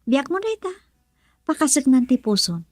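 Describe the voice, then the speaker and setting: A woman's voice: high voice, sing-song, moderate pace, no echo, clean studio sound. A woman playing a worried, doubting relative of a bride in an Ilocano radio drama. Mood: questioning.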